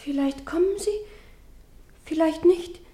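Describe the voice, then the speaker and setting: A very high, girlish voice for a 1950s German radio drama. The girl is timid and sullen, hesitant as she speaks, with a plaintive, haunted edge. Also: takes it slowly, dark tone. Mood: hushed, eerie. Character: frightened, sullen young girl who claims ghost sightings.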